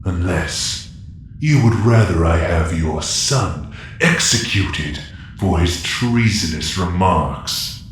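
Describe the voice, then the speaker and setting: An actor playing an imperious, power-hungry galactic warlord in an audio drama, commanding and threatening. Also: male voice, animated, slow pace, slight background noise. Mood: menacing. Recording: heavy echo, faint rumbling ambience.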